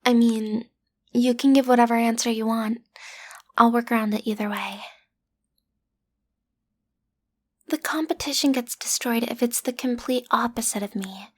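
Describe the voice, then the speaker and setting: A woman performs a smitten schoolgirl would-be villainess for an intimate audio roleplay. Her voice is fairly high and breathy, often falling to a whisper. She talks straight to the listener, hesitant and flustered, trying to sound menacing but staying playful. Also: fairly even intonation, moderate pace, lightly acted. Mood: defiant, flustered. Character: lovestruck teenage schoolgirl, villain's daughter, awkward amateur kidnapper.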